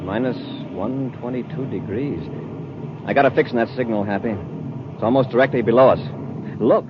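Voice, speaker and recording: An actor playing a decisive space patrol commander in a clipped, commanding 1950s radio drama style. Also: male voice, mid-range voice, moderate pace, natural voice. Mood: urgent, commanding. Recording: muffled, noisy old radio recording.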